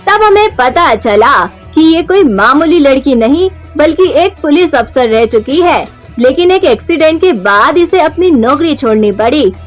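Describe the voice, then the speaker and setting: A chatty middle-aged society lady played by a woman in a melodramatic cartoon moral story. She is high-voiced, insistent and emphatic. Soft music runs behind her. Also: lively intonation, unhurried, few pauses. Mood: admiring.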